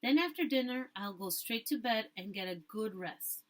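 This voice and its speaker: A woman's voice: on the high side, lively intonation, normal speed, natural voice. A woman voicing a weary, ailing mother in a storybook, weakly reassuring and making light of her illness.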